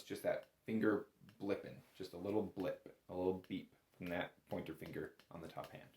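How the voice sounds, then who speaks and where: A man's voice: low-pitched, warm tone. A man giving an informal music lesson as an instructor, often correcting himself and stressing key words. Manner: hedging, self-correcting, emphatic on key words. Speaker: bagpipe instructor. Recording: roomy, echoing room sound.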